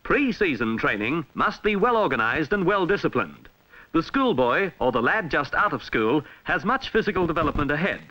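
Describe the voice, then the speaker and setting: A man with an Australian accent reading a scripted 1960s instructional film narration in a formal, measured, emphatic way, on a vintage film soundtrack. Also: a little high, big pitch swings, bright, forceful tone, rough recording.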